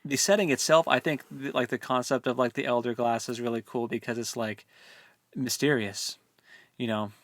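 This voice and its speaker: A man's voice: mid-range voice, steady tone, normal speed, dry recording. A man co-hosting a casual book discussion, thinking aloud and conciliatory toward the other host.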